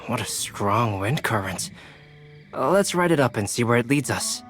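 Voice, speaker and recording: Man playing a calm, analytical young scholar. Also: mid-range voice, over music, natural voice. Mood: calm, careful. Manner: measured, composed. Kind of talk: video game character acting.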